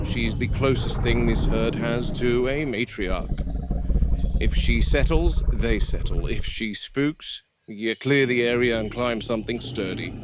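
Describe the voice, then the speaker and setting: A man playing a calm, watchful game warden, speaking in a measured, clinical way as in a scripted field log on a noisy recording. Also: mid-range voice, natural voice. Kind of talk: scripted found-footage horror narration.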